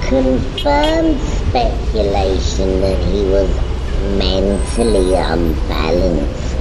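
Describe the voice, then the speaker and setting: A man giving solemn, deliberate scripted narration for a dramatic story. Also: deep voice, heavy background noise.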